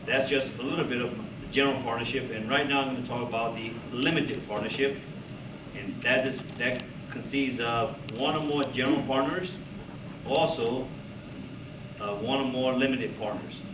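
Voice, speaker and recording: A man giving an informal student presentation in a puppet show, halting and losing his thread. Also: mid-range voice. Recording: echoey room, distant mic, noisy.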